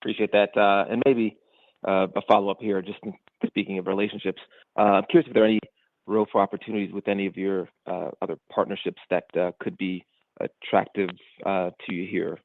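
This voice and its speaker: Low, creaky male analyst on phone. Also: flat delivery, brisk, dry recording. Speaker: financial analyst asking questions. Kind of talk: polite conversational questioning. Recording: dry narrowband phone line.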